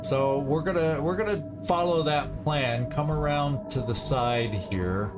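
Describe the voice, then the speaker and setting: Slow-talking man commentating over game music. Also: on the high side. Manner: thinking aloud, deliberate. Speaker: gaming video host. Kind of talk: casual strategy game commentary. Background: video game music.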